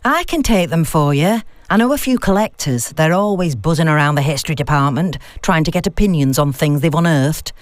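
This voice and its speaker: Woman reader as knowing female academic, spooky storyteller, unflustered, audiobook dialogue, lively intonation, dry recording. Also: deep voice, brisk, few pauses, no echo. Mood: matter-of-fact.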